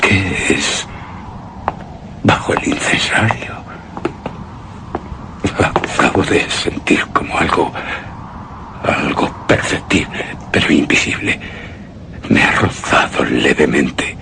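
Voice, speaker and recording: An actor playing a haunted, anguished husband who narrates a gothic horror tale in a radio drama, with heavy dramatic pauses. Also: male voice, extremely low pitch, animated, background noise, full character voice. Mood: fearful, bewildered. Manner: slow, brooding, dramatic delivery with heavy pauses.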